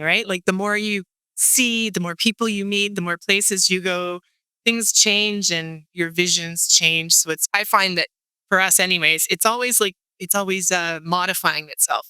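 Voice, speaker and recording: An upbeat woman hosts a podcast with a bright, crisp, forward voice. She reads her introduction smoothly, then drifts into looser chat with fillers. The recording is close and dry. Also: lowish voice, dry recording, low-quality recording. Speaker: podcast host. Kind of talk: podcast interview, scripted intro.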